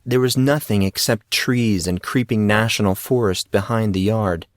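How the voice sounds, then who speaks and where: A man's voice: on the low side, moderate pace, no room sound, clean studio sound. A man reading scripted fiction as a narrator of an audio story.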